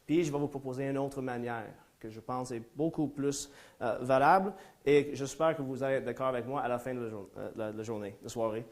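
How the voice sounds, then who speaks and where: A man's voice: mid-range voice, fairly even intonation, fairly quick, a little mellow. Anglophone Ontarian man lecturing in French. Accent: English-speaking Ontarian speaking French. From Ontario, Canada. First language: English. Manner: hesitant, many fillers and restarts, self-deprecating humor. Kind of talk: live conference talk. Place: lecture room before a small audience.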